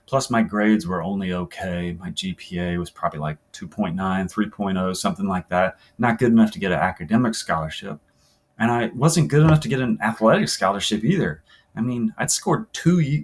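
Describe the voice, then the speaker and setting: A man's voice: on the low side, breathy edge. A man voicing a modest, practical woman soccer forward looking back on senior year in a plainspoken, conversational interview monologue from a novel. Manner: plainspoken, conversational, matter-of-fact. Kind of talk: reading an oral-history novel as interview monologue.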